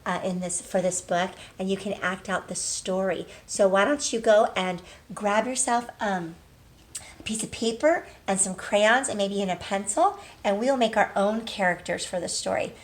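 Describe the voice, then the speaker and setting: A woman teaching young children in a read-aloud lesson, asking questions, pausing and drawing out key words for emphasis. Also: mid-range voice. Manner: prompts with questions, pauses, affirms answers, drawn-out emphasis on key words. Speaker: early literacy teacher.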